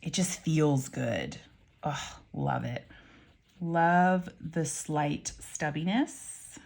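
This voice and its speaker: A woman's voice: low-pitched, extremely slow, vocal fry, darkish tone. A woman reviewing pens and inks in a casual vlog, chatty and rambling, with many ums, self-corrections and wry asides. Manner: wry, mildly swearing asides. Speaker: pen and ink reviewer.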